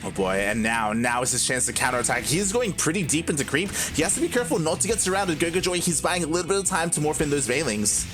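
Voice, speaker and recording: A man's voice: a little high, lively intonation, fast talker, few pauses. A man giving live game commentary in a chatty way, with lots of fillers and chuckles. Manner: conversational, filler-heavy, chuckling. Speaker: esports caster and producer. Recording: commentary over game music.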